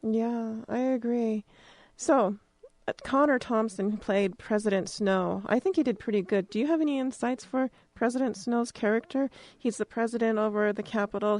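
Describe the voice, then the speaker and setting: A woman's voice: medium pitch, darkish tone, no echo. An American woman hosting a casual interview, talking in an informal, chatty way. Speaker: interviewer, radio drama producer.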